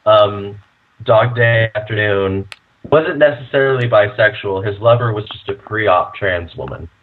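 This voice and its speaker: A man co-hosting a casual fan radio chat, supportive and quick with short agreements. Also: lowish voice. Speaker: podcast co-host. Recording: dull lo-fi audio.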